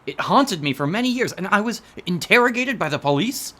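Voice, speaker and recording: A man's voice: high-pitched, animated, fairly quick, a bit bright. A man playing a haunted, intense writer of horror stories in a radio drama, speaking in an earnest, florid, articulate way. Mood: indignant, insistent. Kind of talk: theatrical radio drama acting.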